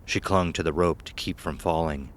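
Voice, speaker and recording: Very deep-voiced male story narrator. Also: fairly even intonation, normal speed. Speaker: audio horror narrator.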